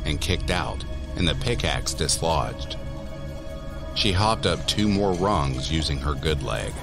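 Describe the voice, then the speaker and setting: Very deep-voiced, slow male horror narrator. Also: animated, gravelly, music in the background. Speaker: narrator of a horror audio story. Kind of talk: scripted horror story narration.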